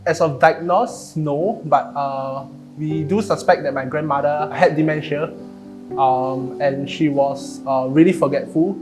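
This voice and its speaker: Young man, slightly high voice. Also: fairly even intonation, a little slow, rough recording. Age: young adult. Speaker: university student. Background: guitar music.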